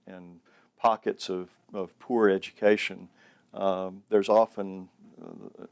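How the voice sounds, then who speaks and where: A man giving unscripted interview remarks in a halting way, searching for his words. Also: low voice, creaky. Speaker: documentary interviewee.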